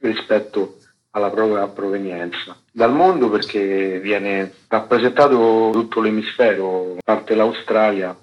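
Low-voiced man, dull lo-fi sound. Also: a little slow. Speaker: interviewed activist and event organiser. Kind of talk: spontaneous explanatory interview talk.

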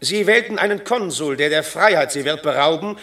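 Scheming Roman tribune, rabble-rousing, bright insistent voice, swooping intonation, radio drama. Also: male voice, fairly high pitch, expressive, fairly quick. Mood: urgent inciting. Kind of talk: classic German radio drama, stage verse.

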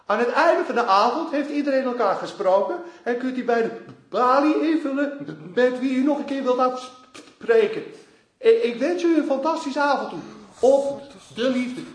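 A man's voice: very high voice, normal speed. A man acting in a Dutch radio drama as a fussy master of ceremonies, making formal, florid announcements in an echoing room. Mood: ceremonious.

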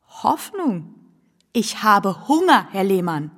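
A woman's voice: medium pitch, big pitch swings, slow, fairly bright tone, exaggerated voice. An actress playing a poor, hungry woman, desperate yet pitying, in a radio drama. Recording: long hall reverb.

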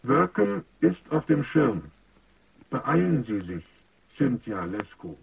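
A man's voice: medium pitch, very animated, slow, creaky, slightly rough, no echo, recorded from a distance, exaggerated voice, poor audio. An actor playing a formal male computer assistant in a radio drama, reading out announcements in an impersonal, clipped, officious manner.

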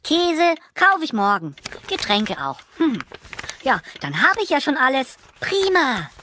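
An actress playing a scatterbrained, excitable little mouse boy in a children's audio play, talking in a muddled, exclaiming way. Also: high voice, unhurried, faint music behind, heavily acted. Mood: musing.

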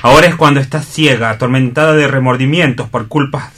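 A man's voice: medium pitch, brisk, fairly bright tone, close to an everyday voice. An actor playing a tormented, pleading young man in love in a radio theatre reading, earnest and emphatic.

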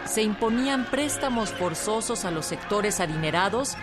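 A woman's voice: mid-range voice, lively intonation, normal speed, a crowd in the background. A woman reading scripted narration in a radio documentary drama. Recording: music behind the voice.

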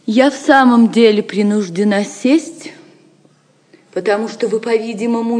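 A woman's voice: medium pitch, darkish tone, natural voice. A woman acting a proud, defiant captive noblewoman in an audio drama, formal, icy and scornful. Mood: scornful defiance.

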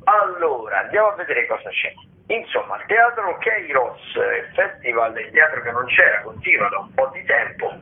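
A man speaking as a theatre critic over a telephone, giving a casual, somewhat halting rundown of listings. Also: medium pitch, big pitch swings. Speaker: theatre critic, regular contributor. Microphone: phone. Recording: narrow-band telephone line.

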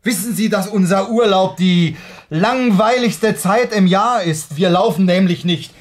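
An actor playing a skeptical, irritable young husband in a German radio play, halting, blunt and defensive in his delivery. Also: high voice. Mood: irritated. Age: young adult.

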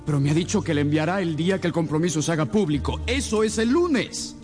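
A man's voice: fairly high pitch, lively intonation, quick pace, a little mellow, soft background music, lightly acted. An actor playing an anguished, imperious king who fears scandal in a radio drama, formal and emphatically dramatic. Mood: distraught.

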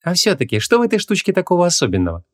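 A man voicing a lively, curious young woman in an audiobook, talking in a colloquial, impatient way. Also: high-pitched, racing, voice pushed up.

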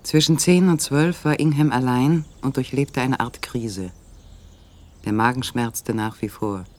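A man narrating a literary radio play in a measured, sober manner. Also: medium pitch.

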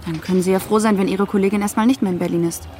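An actor playing a polite, inquisitive acquaintance of a detective in a naturalistic German radio drama. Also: male voice, high voice, fairly even intonation, rapid-fire, a little mellow, soft background music, lightly acted. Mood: polite curiosity.

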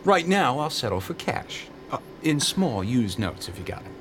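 A brash, animated American mercenary captain. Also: male voice, medium pitch, normal speed, slight background noise. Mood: sardonic, pushy. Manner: sardonic, slangy, blunt. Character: cynical, brash mercenary starship captain, a man. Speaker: actor in a radio drama. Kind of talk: space-opera radio drama acting.

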